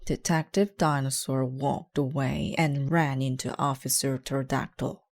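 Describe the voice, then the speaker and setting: A woman's voice: rumbling low, fairly even intonation, a little slow. A woman reading a children's storybook aloud as scripted narration. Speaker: children's book reader.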